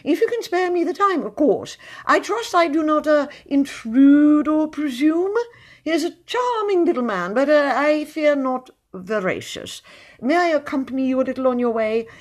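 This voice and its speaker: A prissy, pompous thin poet of a man, put on by a woman reading a storybook aloud, his voice pushed up high and thin. He fusses and stalls over his words with little uh's, fluttering up and down in pitch. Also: animated. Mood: fawning. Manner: fussy, halting with many uh's. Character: prim, pompous thin male would-be poet.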